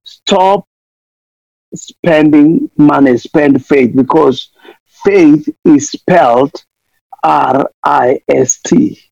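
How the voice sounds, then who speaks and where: A man's voice: fairly high pitch, extremely slow, creaky, pauses often, darkish tone, no echo. A man from Kenya, preaching fervently as a missionary guest, pleading again and again and playing on words. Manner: impassioned, repeats pleas, wordplay. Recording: dull lo-fi dry sound.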